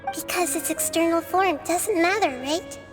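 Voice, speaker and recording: A woman's voice: a little slow, music in the background. A woman voicing a small, earnest magical companion in a video game, with a childlike tone. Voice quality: very high, childlike tone.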